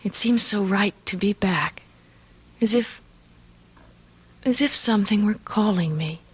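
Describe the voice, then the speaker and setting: An actress playing an anxious, dreamy young bride-to-be in a radio drama, earnest and a little uneasy. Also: female voice, mid-range voice, unhurried, slightly rough. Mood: anxious, haunted. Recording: noisy old radio drama recording.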